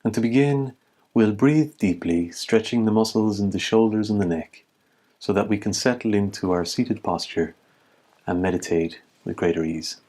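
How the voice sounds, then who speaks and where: Deep-voiced man guiding a meditation. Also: dark tone. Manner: measured, instructional, short phrases with long pauses. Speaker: meditation teacher. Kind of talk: guided meditation instruction.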